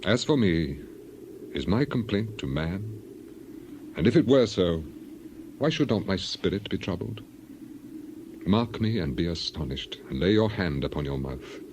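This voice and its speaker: Deep-voiced, anguished ancient man. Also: expressive, measured pace, long pauses. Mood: bitter, pleading. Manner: impassioned, declamatory. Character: anguished suffering ancient man, defiant and bitter. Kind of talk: dramatized scripture reading. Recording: clearly noisy background.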